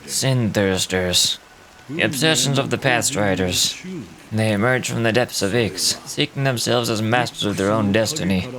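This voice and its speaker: A man voicing a weary, solemn old guide of lost souls in a game, reading dramatically in a grave, measured, reflective way. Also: lowish voice, natural voice, low-quality recording. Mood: solemn storytelling. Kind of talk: dramatic read-aloud of game dialogue.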